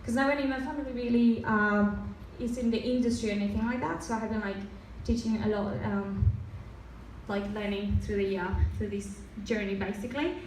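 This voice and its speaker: A young woman from Mexico, a native Spanish speaker with a Mexican accent softened by Australian years, giving a casual design talk full of fillers and restarts. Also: fairly high pitch. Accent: Mexican, with some Australian. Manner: many fillers and restarts, loose and chatty. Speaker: design presenter. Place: event room. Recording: roomy, distant, faint noise.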